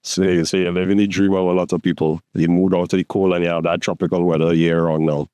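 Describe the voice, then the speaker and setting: A man's voice: deep voice, flat delivery, fast, mellow, clean tone, dry recording. A man hosting a podcast, introducing the show and asking friendly, upbeat questions. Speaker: podcast host.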